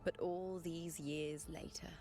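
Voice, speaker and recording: Proud warrior leader lady from a video game, kinda low and creaky, commanding, music playing behind. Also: steady tone, slow, warm tone. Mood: solemn, reflective. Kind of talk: video game story voice acting.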